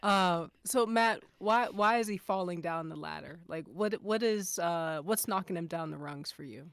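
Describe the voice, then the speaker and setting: A woman's voice: on the low side, moderate pace, smooth, dry recording. A woman co-hosting a casual fan discussion on a podcast after-show.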